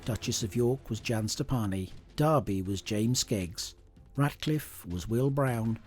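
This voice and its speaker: Brief: male credits announcer, lowish voice, steady list read, music playing underneath. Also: measured pace. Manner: plain, even listing. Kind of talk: scripted credits reading. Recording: voice over music.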